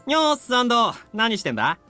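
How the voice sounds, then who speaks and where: A man's voice: very high voice, wildly swooping pitch, very fast, pushed and bright, faint music behind, heavily acted. A man playing an eager, casual teenage boy in a visual novel. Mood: breezy. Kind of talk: Japanese visual novel voice acting.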